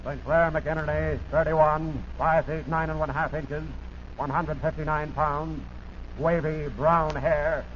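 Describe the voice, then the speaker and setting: Slow, high-voiced American man, old radio bulletin. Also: steady tone. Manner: deliberate, staccato, repeats details. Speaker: radio announcer reading wanted bulletins. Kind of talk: 1940s radio bulletin, clipped scripted reading. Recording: muffled, noisy 1940s radio recording.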